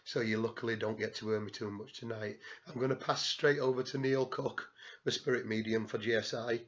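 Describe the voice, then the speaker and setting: A hoarse man, short and apologetic as he co-hosts a live stream. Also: medium pitch, steady tone, brisk, muffled recording. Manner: brief, apologetic, quiet. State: hoarse, lost voice. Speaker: stream co-host running the screens.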